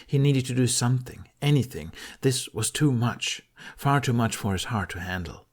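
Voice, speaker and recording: A man's voice: mid-range voice, expressive, moderate pace. A man reading an audiobook of fantasy fiction as a dramatic, intense narrator.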